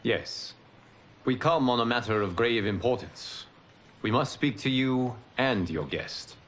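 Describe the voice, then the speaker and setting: An actor playing a solemn, commanding fire god in a video game, speaking in a formal, measured, grave manner. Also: male voice, medium pitch, expressive, fairly bright tone, a little hiss or hum. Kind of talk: cinematic video game dialogue.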